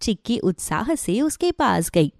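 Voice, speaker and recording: A woman narrating a scripted children's story in an expressive storytelling manner. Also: mid-range voice, a little slow, gravelly, dry recording, clean studio sound.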